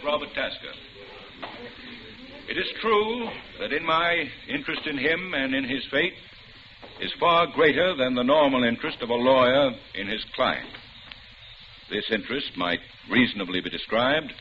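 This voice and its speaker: An earnest defense lawyer. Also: male voice, medium pitch, lively intonation, pushed and bright. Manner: measured, formal courtroom speech. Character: older formal defense lawyer addressing court. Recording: noisy lo-fi old radio drama.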